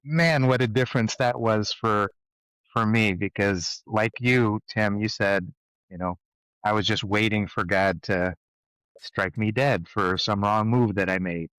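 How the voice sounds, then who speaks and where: Thoughtful low-voiced man, dry sound. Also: measured pace, fairly bright tone, clear, resonant tone, no echo, poor audio. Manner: thoughtful, hesitant, drawn out. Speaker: author and guest. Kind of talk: casual conversation.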